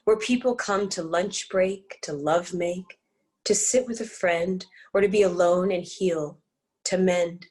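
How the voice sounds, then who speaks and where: A woman reading dramatic scripted narration in a measured storytelling manner. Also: on the low side.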